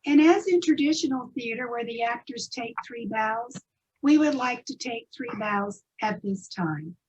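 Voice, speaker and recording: A woman introducing children's stories as host, in simple measured phrases to her audience. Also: fairly high pitch, animated, a little mellow, highs cut off, a little hiss or hum. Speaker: host and presenter of a readers theatre.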